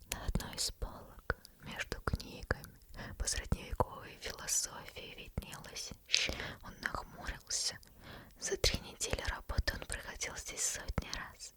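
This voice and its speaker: A woman whispering as a teasing female demon who reads a bedtime story, slow and intimate, close to the microphone. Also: natural voice. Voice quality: soft unvoiced whisper throughout. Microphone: close sensitive ASMR microphone.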